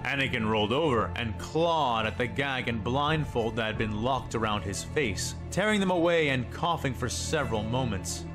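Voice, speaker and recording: A man's voice: medium pitch, normal speed, few pauses. A man reading a scripted dramatic story as its narrator. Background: music.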